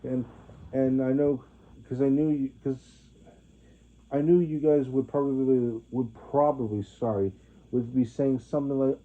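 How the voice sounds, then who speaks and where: A man in his twenties rambling to his viewers in a monologue, halting often with restarts and fillers. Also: mid-range voice, steady tone. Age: 20s. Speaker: vlogger. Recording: phone-like narrow sound.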